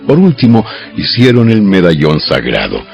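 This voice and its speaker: Male narrator for dramatized scripture audio. Gravelly, expressive, solemn delivery; slightly dull lo-fi sound. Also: deep voice, normal speed. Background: music.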